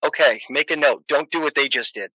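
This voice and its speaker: A man's voice: mid-range voice, brisk, bright, forceful tone, no echo, poor audio. A man from Massachusetts, chatting casually on a podcast, joking and laughing often, with many fillers. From Massachusetts, USA. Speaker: podcast guest. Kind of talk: casual chat.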